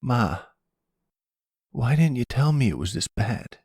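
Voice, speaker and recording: A man's voice: mid-range voice, big pitch swings, slow, darkish tone, slightly rough, no room sound, studio quality, voice pushed up, full character voice. A man voicing an earnest, casual young man, the son in a roleplay audio drama. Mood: shaken, remorseful. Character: earnest young man, mob boss's partner's troubled son.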